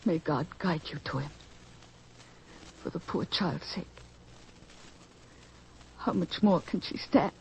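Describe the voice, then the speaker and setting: A woman's voice: on the low side, slow, long pauses, a little mellow. An actress playing a frightened mother of a sick child in a 1940s radio drama, pleading tearfully. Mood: frantic. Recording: old lo-fi radio recording with hiss.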